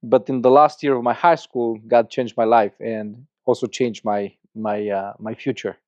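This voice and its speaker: A man's voice: medium pitch, steady tone, normal speed, darkish tone, no room sound. A middle-aged man from Romania with a Romanian accent, a podcast guest telling his story in earnest, with many restarts and repeated words. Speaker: guest, church planter. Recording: slightly muffled dry podcast audio.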